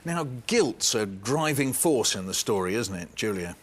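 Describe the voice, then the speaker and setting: A man hosting a book discussion, his pitch moving a lot as he introduces books and asks questions. He speaks in a lively, engaged way. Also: a little slow, breathy edge. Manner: lively, poses questions to panelists by name. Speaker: programme host. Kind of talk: presenting and chairing a book panel.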